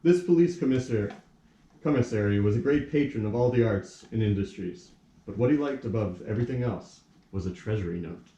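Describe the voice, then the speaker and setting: A man narrating a puppet play as scripted storybook narration, in a formal, measured storytelling manner. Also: lowish voice, dark tone, slight background noise. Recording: echoey room.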